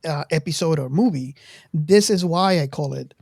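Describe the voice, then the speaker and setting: A woman in her fifties hosting a casual film discussion, speaking haltingly and often repeating words as she goes. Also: sits low, creaky, a little mellow, dry recording. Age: 50s. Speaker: podcast host.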